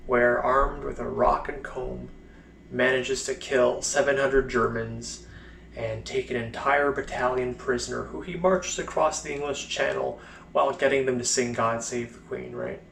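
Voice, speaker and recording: A man's voice: mid-range voice, rapid-fire, creaky, few pauses, a little hiss or hum, lightly acted. A man performing a bitter, sardonic blinded First World War soldier in a dramatic stage monologue with pent-up anger. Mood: scornful, sardonic. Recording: roomy echo, faint music.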